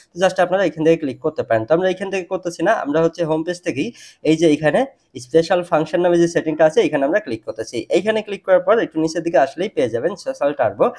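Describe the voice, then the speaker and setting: A man's voice: a little high, a little mellow. A man narrating a step-by-step phone tutorial, instructing and often addressing his viewers directly. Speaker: tech tutorial presenter.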